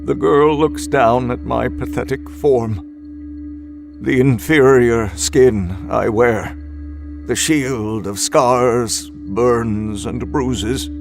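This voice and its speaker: A man narrates a dark first-person tale in a slow, deliberate way, each line carefully weighted. His voice sits in a middle register, and music plays steadily beneath him. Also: medium pitch, vocal fry. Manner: measured, dramatic, deliberate. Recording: music bed underneath.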